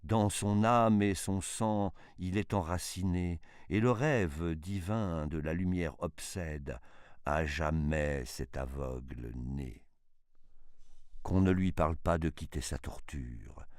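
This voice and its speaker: A man giving a dramatic, theatrical poetry recitation in an impassioned, declamatory manner, with emphatic swells and hushed drops. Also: low voice, takes it slowly, clean tone, no echo, clean studio sound.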